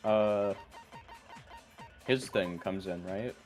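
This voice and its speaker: A man's voice: lowish voice, drawn-out words, a little mellow. A man giving casual, joking video game commentary and thinking aloud as he plays. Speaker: game streamer. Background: video game music.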